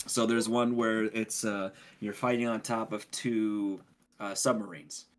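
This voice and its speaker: A man's voice: lowish voice, fairly even intonation, measured pace. A man talking casually as a podcast co-host, explaining things in a rambling way. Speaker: podcast co-host reviewing video games.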